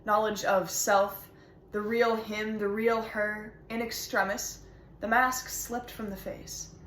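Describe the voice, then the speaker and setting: A woman performing an intense, reflective lover in a dramatic stage monologue, in weighted phrases with deliberate pauses. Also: mid-range voice, little pitch movement, a bit bright, slight background noise. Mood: earnest, intimate. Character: intense lover reflecting on intimate knowledge and loss. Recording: echoey room.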